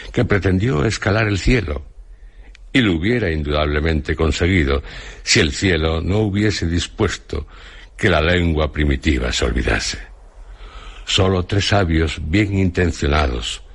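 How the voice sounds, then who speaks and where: An actor playing an ancient, venerable hermit sage near death, speaking solemn, grandiloquent Castilian Spanish in a radio drama. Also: male voice, exaggerated voice. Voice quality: deep, husky. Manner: slow, grandiloquent, declamatory. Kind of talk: Spanish radio theatre acting.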